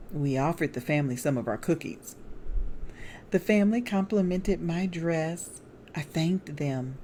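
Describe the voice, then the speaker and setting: Casting: middle-aged American woman, low mellow voice, expressive memoir narration, slight background noise. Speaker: author and evangelist reading from own book. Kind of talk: memoir read aloud.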